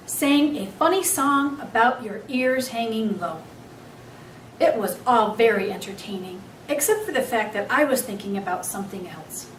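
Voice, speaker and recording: A woman reading a children's book aloud as an expressive storyteller. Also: a little high, recorded from a distance. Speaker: story reader. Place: echoey room, away from the mic. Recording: roomy, off-mic, faint background noise.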